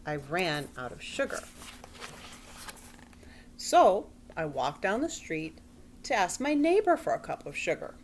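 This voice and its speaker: A woman's voice: on the low side, big pitch swings, unhurried, pauses often, a little hiss or hum. A woman voicing a defensive, sly wolf who tells his side of a storybook tale in a folksy, conversational way. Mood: confiding, then indignant, incredulous. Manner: folksy, conversational, exaggerated self-justifying. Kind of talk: storybook read-aloud in character.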